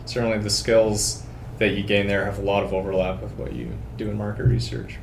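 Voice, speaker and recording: A young Canadian man answering interview questions in a measured way, with many hesitations. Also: on the low side, level tone, vocal fry, reverberant, a little hiss or hum. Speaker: panel guest, researcher.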